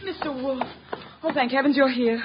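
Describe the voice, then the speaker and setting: A woman's voice: sits high, steady tone, normal speed, natural voice. An actress playing an anxious, defensive married woman in 1950s radio drama style, pleading, agitated and faltering. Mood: panicked. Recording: dull, noisy 1950 radio recording.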